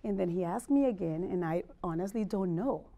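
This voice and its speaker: A woman's voice: on the low side, brisk, warm tone. A middle-aged woman from Mexico City with a Mexican accent, a native Spanish speaker, gives a personal wellness presentation as a motivational speaker, talking candidly and conversationally and checking in with her listeners. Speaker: motivational speaker and life coach.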